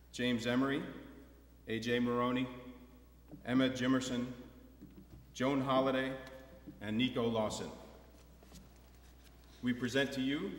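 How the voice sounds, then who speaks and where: A man giving a prepared introduction to a live audience as the host of a staged reading. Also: mid-range voice. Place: large indoor hall or theatre. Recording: echoey hall with long reverb.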